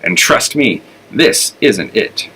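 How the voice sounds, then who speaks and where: A man's voice: on the low side, sing-song, takes it slowly, bright, forceful tone, slightly rough, heavily acted, poor audio. A man voicing a terse, restless male lead sled dog in a children's storybook. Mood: purposeful.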